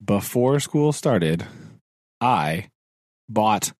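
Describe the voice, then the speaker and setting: A man's voice: lowish voice, animated, very slow, a little mellow, no room sound, clean studio sound. A man, a teacher co-hosting a podcast, chatting casually, laughing often and acting out his own thoughts. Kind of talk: casual chat. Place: home.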